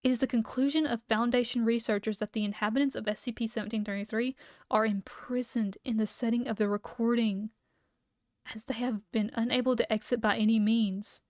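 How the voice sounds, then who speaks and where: A woman streamer with a fairly high voice reads entries aloud and then chats casually between them. She sounds slightly hoarse, as if she has been talking for a long time. The close mic at her home setup keeps the sound dry. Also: steady tone, brisk, darkish tone, dry recording. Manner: skips text with "blah, blah" asides. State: losing her voice. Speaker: livestreamer.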